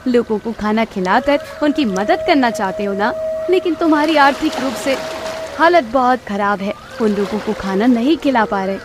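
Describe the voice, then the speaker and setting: A woman voicing a kind river mermaid in a cartoon fairy tale, speaking in a gentle, reassuring, earnest way. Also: a little high, expressive, normal speed, background noise, lightly acted.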